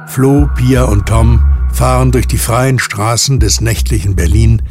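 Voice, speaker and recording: Gravelly male audio drama narrator. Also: medium pitch, fairly even intonation, unhurried. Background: music.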